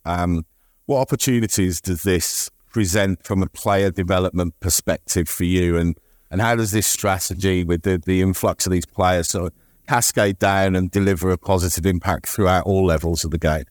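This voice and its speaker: A man's voice: low voice, moderate pace, dry recording, clean studio sound. Middle-aged man moderating a panel. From United Kingdom. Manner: conversational, loose, with fillers. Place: conference stage.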